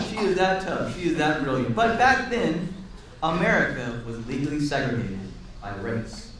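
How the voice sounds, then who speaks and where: A man reading a picture book aloud to children in an expressive, encouraging way, pausing to chat and ask them questions. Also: high voice. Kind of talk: animated read-aloud with asides to a young audience. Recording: echoey room, distant mic, audience sounds.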